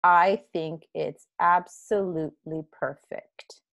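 A woman's voice: fairly low pitch, steady tone, slow, gravelly, fairly bright tone, exaggerated voice. Woman playing a kindly, whimsical music teacher. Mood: reassuring. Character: kindly, whimsical female music teacher mouse, expecting a baby.

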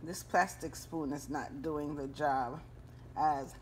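A woman's voice: low-pitched, fairly even intonation, slow, background noise. A woman hosting a home cooking video, chatting casually and folksily to her viewers as she cooks at the stove. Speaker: home cooking channel host. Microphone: handheld phone camera.

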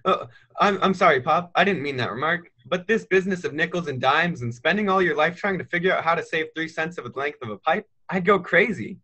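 A high school boy acts out a restless young American dreamer in a radio play. His voice is rather high and very bright, with an earnest, eager push to his words. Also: animated, fairly quick. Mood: frustrated, restless. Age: teens. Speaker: high school drama student. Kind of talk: stage radio play acting.

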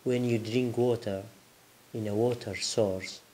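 A man from a Kurdish region, interviewed, speaking slowly and searching for words. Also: on the low side, fairly even intonation, a little mellow, poor audio. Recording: faint hiss.

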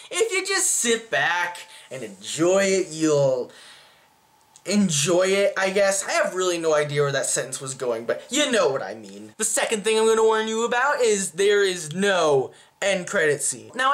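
Animated young man with lively pitch swings. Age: young adult. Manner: animated, rambling, self-interrupting. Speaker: movie reviewer. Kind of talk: casual chatty video review. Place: small plain room at home.

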